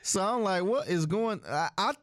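A man's voice: high voice, expressive, moderate pace, fairly bright tone, dry recording, clean studio sound. A man co-hosting a casual chat, easygoing and laughing often. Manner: laughing a lot, amused and easygoing. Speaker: podcast co-host.

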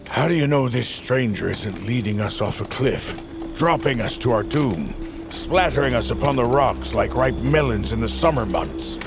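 A man's voice: mid-range voice, animated, moderate pace, creaky, music in the background. An actor playing a withered old male attendant, anxious and grumbling, in an audio drama. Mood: grumbling, wary. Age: older. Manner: fretful, colourfully grim, exclaiming. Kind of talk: audio fiction drama acting.

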